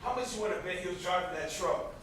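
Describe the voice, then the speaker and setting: A man's voice: sits high, fast, a bit bright. A young man on an amateur stage playing a bitter, guilt-ridden brother in mourning, with vehement, declamatory delivery. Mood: agitated. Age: young adult. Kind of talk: amateur stage drama acting. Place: indoor stage, recorded from a distance. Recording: very echoey, noisy stage recording from far off.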